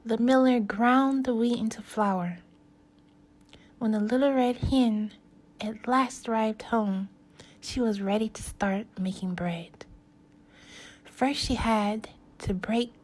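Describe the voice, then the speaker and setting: Slow, higher-pitched woman reading a story. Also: a little mellow. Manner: slow, deliberate phrasing with pauses. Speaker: teacher reading a children's story. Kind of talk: animated read-aloud storytelling for young learners.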